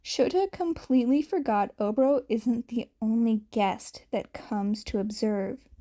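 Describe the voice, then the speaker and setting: A woman's voice: a little high, measured pace, dark tone. A woman narrating a scripted story as a podfic reader, occasionally stumbling over the script.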